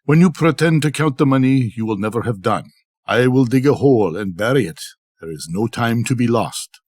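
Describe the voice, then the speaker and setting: A man's voice: mid-range voice, animated, moderate pace, darkish tone, dry recording, studio quality, lightly acted. An older man voicing a cautious, practical husband in an old folktale, firm and instructing. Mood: firm, urgent.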